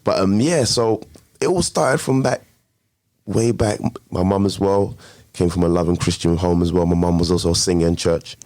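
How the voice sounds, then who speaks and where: A man's voice: sits low, moderate pace, darkish tone, no echo, studio quality. A man with a London accent chatting casually and slangily as an interview guest, a music producer. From London, England. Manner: slangy, informal.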